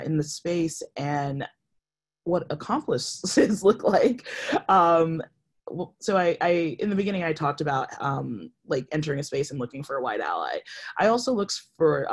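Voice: medium pitch, breathy edge. A panelist speaking casually and personally in a discussion held over a video call. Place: indoor room, video call.

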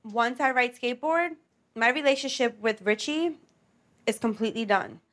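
A woman's voice: a little high, moderate pace, fairly bright tone. A young woman, a singer, talking casually and candidly about herself on a reality show. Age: young adult. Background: background music.

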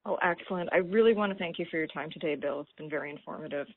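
Low, slightly husky woman on the phone. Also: rapid-fire. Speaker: interviewer. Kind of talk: prepared interview questions. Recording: narrow muffled phone line.

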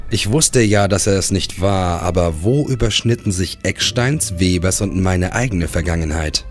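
Man playing a low-voiced brooding police detective. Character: troubled male police detective narrating his case. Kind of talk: crime audio drama, first-person narration.

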